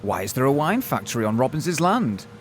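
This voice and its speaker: A man's voice: mid-range voice, very animated, brisk, a little hiss or hum, close to an everyday voice. A man delivering scripted narration for a comic audio drama.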